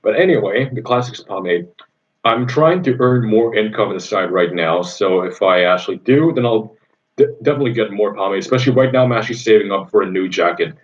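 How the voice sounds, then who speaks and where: A young man from Indonesia hosts a casual livestream, answering viewers in a rambling, enthusiastic way with plenty of ums. His voice sits fairly low, and the recording sounds dull and muffled. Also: expressive, a little fast.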